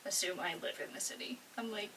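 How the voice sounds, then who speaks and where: A woman's voice: medium pitch, quick pace, sharp tone. A young woman from upstate New York, a makeup artist guest, answers casually and laughs often. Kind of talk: casual interview chat. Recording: distant mic with clear background noise.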